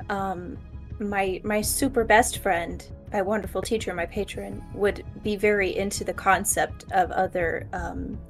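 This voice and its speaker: A woman's voice: medium pitch, fairly bright tone. A woman chatting casually as a player in a tabletop game, hesitant and playful in her phrasing.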